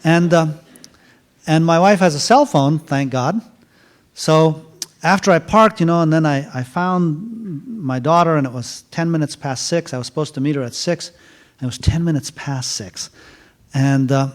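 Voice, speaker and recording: Halting, rambling man telling a story. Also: on the high side. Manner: rambling, halting, with many fillers and restarts. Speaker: author speaking at a university event. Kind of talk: casual storytelling talk. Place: university lecture event.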